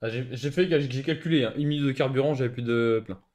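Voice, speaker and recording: A man's voice: mid-range voice, fast talker, a little mellow. A man talking casually with others over gaming voice chat. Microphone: voice chat over computer mic.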